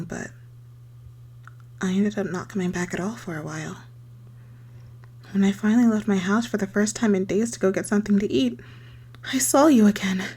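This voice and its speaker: A woman playing an unstable, lovesick young circus girl turned kidnapper in an intimate ASMR roleplay, speaking haltingly in a breathy voice that slips into whispers. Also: mid-range voice, a little mellow, natural voice. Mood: wistful, confessional. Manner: intimate, halting, stammering, swinging from sweet to desperate. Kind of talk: scripted ASMR roleplay monologue.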